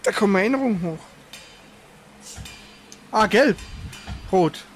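High-pitched man chatting while gaming. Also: lively intonation, unhurried. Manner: informal, thinking aloud. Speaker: video game streamer. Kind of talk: casual live gameplay commentary. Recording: faint background noise.

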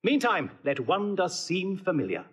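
An actor playing a solemn, reassuring friar in a classical audio drama, with a husky voice. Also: male voice, high-pitched, wildly swooping pitch, moderate pace, fairly bright tone, full character voice. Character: solemn, reassuring friar presiding over a wedding.

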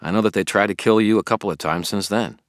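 A man's voice: fairly low pitch, quick pace, no echo, clean studio sound, pitched above the performer's own voice, close to an everyday voice. A man voicing an earnest young man who pesters and pleads with his friend in a storybook. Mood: concerned. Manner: insistent, pleading.

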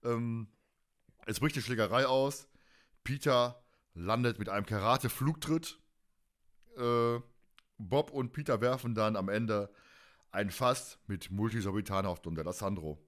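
A man co-hosting a podcast, recapping in a casual, animated, stop-and-start way and often turning to the others. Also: on the low side, measured pace, pauses often. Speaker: podcast host. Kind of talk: casual fan podcast discussion.

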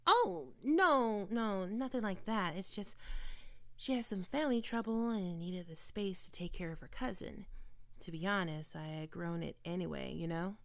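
A young woman voicing a nervous young female neko-vampire meeting her new human roommate in an ASMR roleplay, stammering and quick to take offense. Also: medium pitch, lively intonation, no room sound, natural voice. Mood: awkward friendly. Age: young adult.

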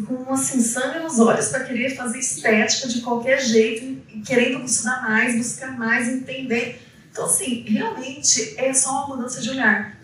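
A woman from the São giving an informal, storytelling talk as an educator, acting out people's reactions as she goes. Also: a little high. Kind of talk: informal spoken storytelling to an audience. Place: indoor event room, on a small stage with seated audience. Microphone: handheld microphone, recorded by a distant camera. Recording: echoey hall, picked up from far off.

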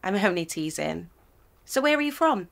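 A young woman in a period audio drama, chatty and teasing, a little gravelly at the edges. She is bold and lively in every line. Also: medium pitch, moderate pace. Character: young working-class woman emigrating, teasing. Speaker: photography client.

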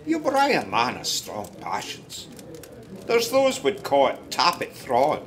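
A Scottish storyteller in a radio drama. He takes his time, with a lively, swooping delivery. He is wistful and a little gossipy, drifting into hesitant, confiding asides. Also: mid-range voice, unhurried, a crowd in the background. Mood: rueful, musing. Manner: musing, conversational, hesitant asides. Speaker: narrator.

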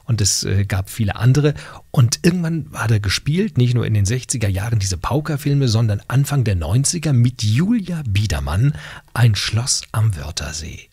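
A man's voice: fairly low pitch, sing-song, normal speed, a little mellow, breathy edge, no room sound. A middle-aged man hosting a casual radio interview in a small studio, speaking into a close studio mic. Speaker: radio host. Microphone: studio mic on boom arm.

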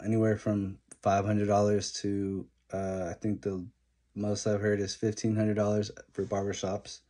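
Earnest young barber, lowish voice, close phone mic. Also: male voice, darkish tone. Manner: earnest, straightforward advice, list-like delivery. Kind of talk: talking-head advice vlog. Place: small indoor room at home. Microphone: phone camera held close.

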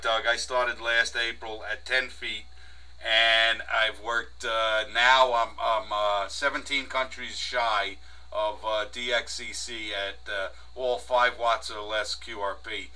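Very bright-voiced American man, off-mic. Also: fairly low pitch. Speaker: amateur radio operator. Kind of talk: casual ham radio exchange. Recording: distant from mic, harsh home recording.

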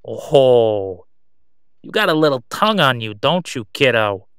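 Smooth male crime boss, acted by a man, cocky, sneering, folksy gangster patter, audio roleplay, dry sound. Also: mid-range voice, very animated, unhurried, a bit bright, no room sound. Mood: smug, taunting.

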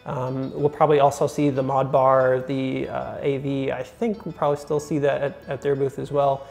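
A young man hosting a casual talk to camera, enthusiastic and chatty with many fillers, in a bright indoor room. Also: mid-range voice, level tone, normal speed, creaky, soft background music. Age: young adult. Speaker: host.

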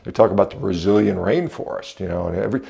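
A man with an American accent speaks in a deep voice full of heavy creak. He gives unscripted opinions bluntly and dismissively, talking conversationally as if straight to a viewer. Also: fast talker, dark tone. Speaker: video reviewer and commentator. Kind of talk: unscripted opinion commentary to camera. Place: indoors, home entrance hall. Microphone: small clip-on lapel mic.

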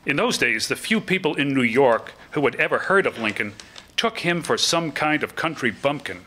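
A man's voice: mid-range voice, animated, normal speed, bright, forceful tone, a little hiss or hum. An older man playing a knowing nineteenth-century newspaper reporter, reading his account from a script on stage. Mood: knowing, assured. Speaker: amateur actor reading a historical part. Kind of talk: scripted first-person narration at a staged reading. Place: auditorium stage before an audience. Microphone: microphone on a stand.

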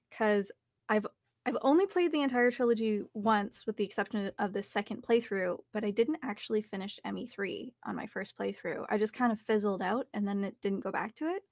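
A woman's voice: medium pitch, dry recording. Young woman, dry close sound. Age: young adult. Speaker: interview guest, voice actor. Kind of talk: casual chat.

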